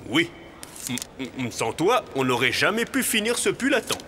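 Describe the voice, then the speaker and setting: Animated, friendly cartoon animal pal. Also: male voice, a little high, normal speed, heavy background noise. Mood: grateful. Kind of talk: children's cartoon dubbing in French.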